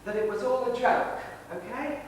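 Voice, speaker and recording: An actor playing an embittered middle-aged theatrical husband, sardonic and menacing, in a deliberate stage style. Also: male voice. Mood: mock polite. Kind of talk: theatrical stage drama acting. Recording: echoey hall, distant mic, faint rumbling noise.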